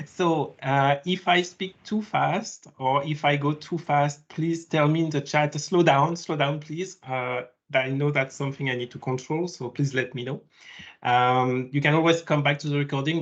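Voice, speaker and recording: A man whose first language is French, speaking as a guest presenter on a video call, nervous and self-deprecating with frequent laughs. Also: mid-range voice. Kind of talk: casual chat. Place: home office, video call. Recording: dull video call audio.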